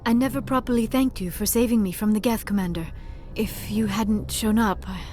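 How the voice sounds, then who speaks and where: A woman's voice: mid-range voice, brisk, darkish tone, close to an everyday voice. An actress playing a shy, earnest young female alien archaeologist in a video game, with formal, precise diction and a socially awkward manner. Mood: earnest, grateful. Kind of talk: video game dialogue acting. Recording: music behind the dialogue.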